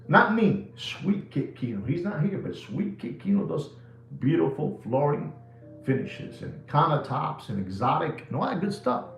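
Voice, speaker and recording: A New man in his sixties rambles through a live watch podcast, cracking jokes and slinging slang. His voice sits at a middle pitch with a gravelly, creaky edge. The room is echoey, with faint music playing in the background. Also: muffled recording. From New York City. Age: 60s. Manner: animated, jokey storytelling with slang.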